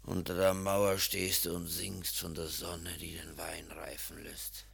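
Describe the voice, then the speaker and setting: An actor playing a lonely, slightly mad old gardener who broods and mutters to himself in a radio play. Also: male voice, monotone, fairly bright tone, exaggerated voice. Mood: wistful. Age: older. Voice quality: gravelly, very low. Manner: slow, brooding, talking to himself.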